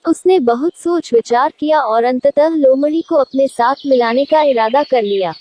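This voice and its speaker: High-pitched woman narrating a children's story. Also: normal speed, a little mellow, dull audio.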